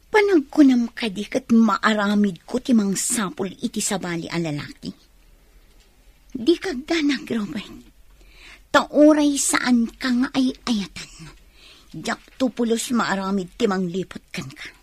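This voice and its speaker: A woman's voice: a little high, lively intonation, slow, long pauses, breathy edge, no room sound, full character voice. A woman acting an aggrieved, defiant young wife in an Ilocano radio drama. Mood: hurt, defiant.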